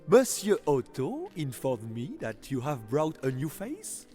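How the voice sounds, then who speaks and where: Concierge, male reader as effusive, formal Frenchman with French accent; audiobook dialogue, very animated intonation. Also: on the high side, a little slow, soft background music, pitched above the performer's own voice. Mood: courteous, welcoming. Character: courteous, eager French male hotel concierge.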